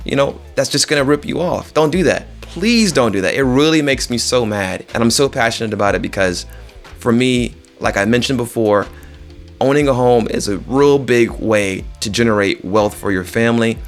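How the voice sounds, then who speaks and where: A man's voice: medium pitch, normal speed, soft background music. A man talking directly to viewers as a real estate agent, informal and conversational, repeating phrases for emphasis. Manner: conversational, addresses listeners directly.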